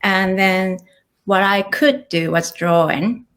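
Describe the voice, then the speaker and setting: A Japanese woman in her thirties, speaking English with a Japanese accent as an interview guest, thoughtful and relaxed with small restarts. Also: medium pitch, slow, clear, resonant tone. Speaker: guest, author and illustrator. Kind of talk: casual interview conversation.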